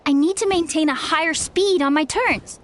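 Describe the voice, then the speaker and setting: A woman's voice: very high voice, normal speed, slight background noise. A woman playing an eager, insecure young trainee flier in a video game, with an earnest, breathless delivery. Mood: shy eagerness. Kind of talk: video game dialogue acting.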